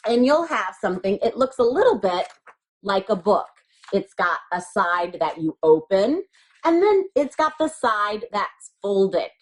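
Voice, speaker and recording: Female primary teacher, very lively intonation, guiding little kids through a craft in simple, encouraging, repetitive language. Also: medium pitch, poor audio. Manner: encouraging, simple words, repeats instructions. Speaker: elementary school teacher. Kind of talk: step-by-step craft instruction for young children.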